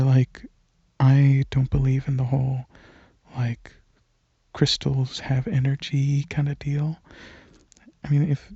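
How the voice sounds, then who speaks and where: A young man speaks slowly and softly in a rambling ASMR style, with a mellow, slightly husky voice. He is hesitant and sometimes sinks toward a whisper. The sound is close and dry. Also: medium pitch, no echo, highs cut off. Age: young adult. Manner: soft-spoken, hesitant, drifting into near-whispers. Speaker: ASMR channel creator.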